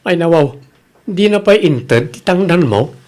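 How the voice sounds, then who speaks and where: A man's voice: fairly high pitch, lively intonation, measured pace, a little mellow. Male actor for kindly, generous man, Ilocano Filipino accent, radio comedy drama. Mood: kind, concerned. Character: kind, generous older-brother figure, a man.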